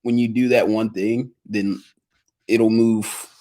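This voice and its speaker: A teenage boy with a fairly low voice talks casually. He rambles and keeps asking "right?". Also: level tone, slow, mellow. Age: teens. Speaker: son of the host. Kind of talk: casual chat.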